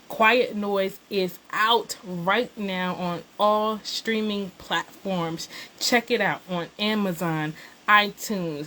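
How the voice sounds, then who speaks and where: A woman's voice: medium pitch, a bit bright, slight background noise, poor audio. A woman hosting a live-stream series, upbeat and announcer-like, laughing easily with her guest. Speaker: host. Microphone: phone on a live stream.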